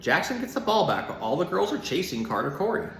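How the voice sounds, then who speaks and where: A man's voice: high voice, big pitch swings, quick pace, creaky, fairly bright tone. A man giving simple, child-friendly explanations and storybook reading in the informal style of an animal educator. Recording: roomy echo, some background noise.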